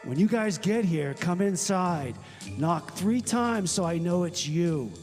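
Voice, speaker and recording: A man playing a plucky time-traveling adventurer in a comedy radio play, reporting on a secret mission with dramatic, breezy deliberateness. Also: high-pitched, animated, darkish tone. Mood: conspiratorial, determined. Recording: long hall reverb with music behind.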